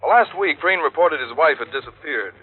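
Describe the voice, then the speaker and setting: A man's voice: on the high side, lively intonation, brisk, slightly rough. An actor playing a businesslike, slightly teasing insurance man in a 1950s radio drama. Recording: tinny, phone-like old radio recording.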